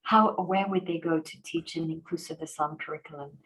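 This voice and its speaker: A woman with a lowish voice talks in an easy, conversational way. She tells her stories with animation, breaking into quick restarts and fillers. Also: steady tone, a bit bright, poor audio. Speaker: organization president and host. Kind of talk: conversational interview.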